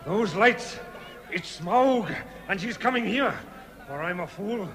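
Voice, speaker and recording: An actor playing a grim, defiant archer captain in an audio drama, urgent and declamatory. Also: male voice, high voice, lively intonation, takes it slowly, a bit bright, full character voice. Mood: urgent, alarmed.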